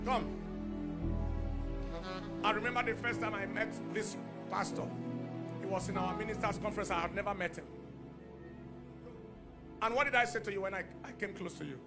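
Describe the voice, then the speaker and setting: A man's voice: high voice, moderate pace, pauses often. A man preaching and praying over a congregation, giving commands and repeating phrases for emphasis. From Nigeria. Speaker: guest preacher. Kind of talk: charismatic sermon and prayer ministry. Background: music.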